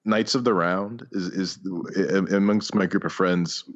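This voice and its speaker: A man with a deep, low voice chats casually as a podcast co-host. He speaks haltingly, often repeating words. The audio is a little dull. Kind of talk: casual gaming chat. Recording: slightly dull, muffled audio.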